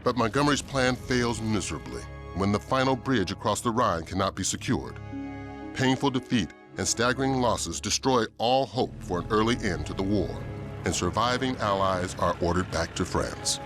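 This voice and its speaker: A man delivering solemn, measured war history narration in the manner of a documentary, for a video game. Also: fairly low pitch, natural voice. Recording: music under narration.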